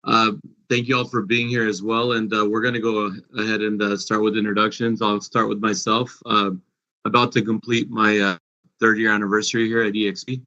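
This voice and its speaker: Measured man moderating a panel. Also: on the low side, flat delivery, pushed and bright. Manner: hesitant, many "uh" fillers. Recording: dull lo-fi sound.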